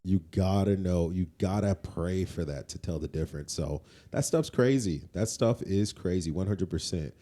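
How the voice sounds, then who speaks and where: Casting: male podcast co-host, dark mellow tone, slightly low, conversational yet insistent and preachy. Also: moderate pace, hardly any pauses. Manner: emphatic, moralizing, repeats points for weight. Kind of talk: conversational opinion on faith.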